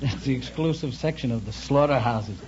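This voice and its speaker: A man's voice: medium pitch, a little fast, a little mellow. Young man on noisy old radio. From San Francisco, United States. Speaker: Navy sailor, quiz show contestant. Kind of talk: interview answers on a radio quiz show. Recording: muffled, noisy vintage radio recording.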